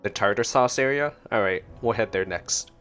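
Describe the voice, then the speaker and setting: A man's voice: lowish voice, normal speed, a bit bright, soft background music. A man giving casual reaction commentary as a gameplay streamer.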